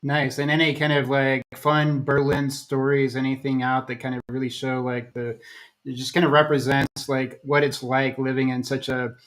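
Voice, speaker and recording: American man, rambling, flat-voiced host. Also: medium pitch, moderate pace. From United States. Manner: rambling, restarts, many fillers. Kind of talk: casual interview chat.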